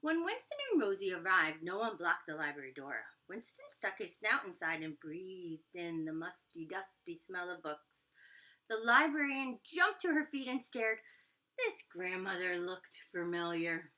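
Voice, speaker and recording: Bright, animated woman reading to children. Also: fairly low pitch, measured pace, low-quality recording. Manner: expressive, engaging listeners with questions. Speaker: storybook reader for young children. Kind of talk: animated children's read-aloud with asides to listeners.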